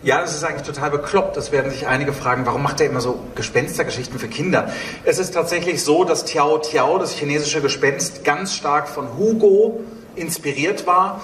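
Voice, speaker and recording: Male panel guest, thirties, fairly high voice, distant and roomy stage sound. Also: a little fast, a bit bright. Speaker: audio drama producer on a panel. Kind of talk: panel talk. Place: on stage in a dark event hall. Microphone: handheld microphone, heard through room. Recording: roomy hall sound, distant, faint noise.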